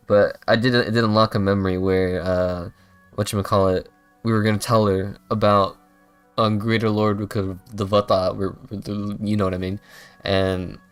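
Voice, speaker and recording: A man's voice: lowish voice, clear, resonant tone. A man chatting casually as a game streamer, rambling and self-deprecating. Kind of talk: casual livestream gameplay chat.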